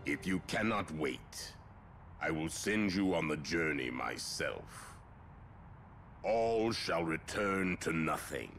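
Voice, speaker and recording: An ancient armored sorcerer villain from a video game, with an extremely deep voice that drags menacingly. He is grandiose and gravelly, swelling and sinking dramatically over loud music. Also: slight background noise, heavily acted. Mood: ominous, exultant. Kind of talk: video game cutscene acting.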